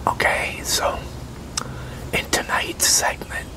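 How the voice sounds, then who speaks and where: A man in his sixties speaks in a breathy whisper, slowly and haltingly. He plays a promoter in an ASMR roleplay, leaving gaps as though holding one side of a conversation. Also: rough recording. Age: 60s. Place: open covered outdoor picnic area with metal tables.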